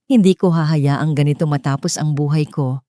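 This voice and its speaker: A woman narrator voicing a hurt, quietly determined wife and mother in a hushed, fragile but resolute way. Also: low-pitched, moderate pace, no echo, studio quality, natural voice. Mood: quiet resolve.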